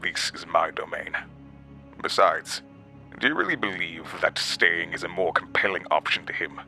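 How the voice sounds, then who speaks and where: Slow, creaky, deep-voiced sadistic villain. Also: male voice, soft background music, full character voice. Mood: cold, controlling. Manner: slow, menacing, mock-gentle, condescending. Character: sadistic, gloating male villain, coldly amused and controlling. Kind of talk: horror audio drama acting.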